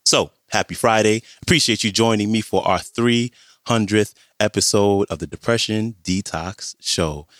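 A hoarse man hosting a podcast, with a very low voice. He chats in a relaxed way as he introduces the show. The recording is dry and close. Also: moderate pace, dry recording. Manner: relaxed, chatty, friendly greetings. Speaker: podcast host.

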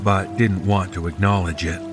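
A man's voice: deep voice, level tone, moderate pace, over music. A man reading a scripted first-person horror story as its narrator.

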